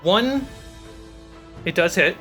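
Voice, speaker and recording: A man's voice: high voice, lively intonation, takes it slowly, sharp tone. A man running a tabletop fantasy game as game master, narrating scenes and calling out results. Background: clear cinematic music.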